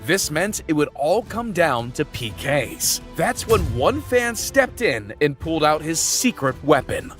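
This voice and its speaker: A man narrates a sports video with a high voice that swings widely in pitch. His scripted delivery is hyped and dramatic, full of slang and exclamation. Music plays clearly underneath him. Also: big pitch swings, unhurried, hardly any pauses, fairly bright tone.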